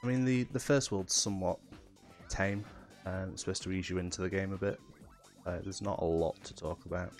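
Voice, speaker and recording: A man's voice: sits low, a little fast, soft background music. A man with a cold and a nasal voice, casually explaining technical tricks as he commentates his own speedrun. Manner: relaxed, explanatory, with fillers. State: has a cold.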